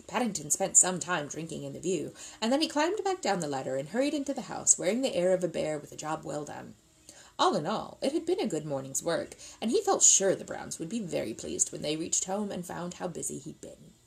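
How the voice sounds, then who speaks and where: A middle-aged woman reads a children's story aloud in an expressive narrating style. Her voice sits a little low and moves around a lot in pitch, as a storyteller's does. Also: vocal fry, poor audio. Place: indoors, seated at a table with an open book.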